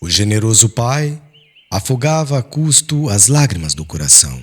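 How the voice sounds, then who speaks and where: A man's voice: fairly low pitch, big pitch swings, normal speed, slightly rough. A man reading an audiobook as a solemn, formal and dramatic literary narration.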